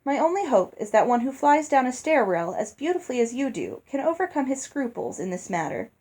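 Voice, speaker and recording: A woman's voice: high-pitched, very animated, brisk, a little mellow. A woman reading the storybook letter of a polite, curious young girl. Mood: playful, coaxing. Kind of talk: storybook letter read aloud.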